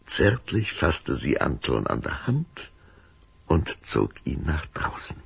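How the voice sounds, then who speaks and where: A man's voice: rumbling low, vocal fry, a bit bright, slightly rough, exaggerated voice. A man narrating a children's vampire audio drama as a dramatic, suspenseful storyteller.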